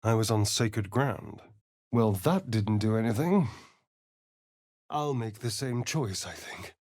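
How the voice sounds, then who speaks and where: A man's voice: fairly low pitch, slow pace, a little mellow, no room sound, studio quality. An actor voicing a sly, vain, sardonic male vampire spawn in a video game, with an arch, theatrical drawl. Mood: wary, pensive.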